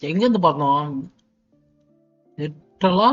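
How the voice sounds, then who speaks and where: A man's voice: fairly high pitch, racing, no room sound, lo-fi sound, faint music behind. A man with a Tamil accent giving casual, slangy live reactions as a game livestreamer.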